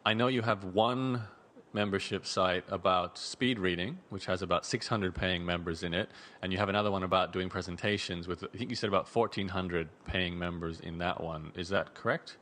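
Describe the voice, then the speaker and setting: A man's voice: deep voice, fairly even intonation, moderate pace, fairly bright tone. A man hosting an interview, talking in a chatty way with frequent restarts and repeated words. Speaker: podcast host.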